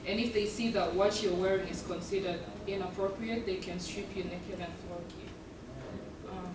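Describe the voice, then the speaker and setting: A young woman who grew up in East Africa gives a student presentation, earnest and measured, with many ums between her points. She sounds a little far from the mic in an echoey room, with clear noise behind her. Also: lowish voice. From South Sudan and Uganda. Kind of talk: presentation talk. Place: event room.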